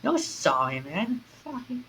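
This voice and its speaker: A man streaming a game, giving animated, sweary live commentary as he plays. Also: very high voice, measured pace, slight background noise. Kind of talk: live playthrough commentary with read-aloud acting.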